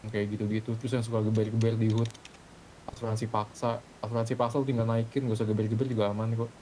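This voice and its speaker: A man's voice: lowish voice, flat delivery, fast, dark tone, a little hiss or hum. A man talking casually and slangily in an online gaming group meeting, airing complaints. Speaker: roleplay gamer in a group meeting. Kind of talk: casual in-game meeting talk.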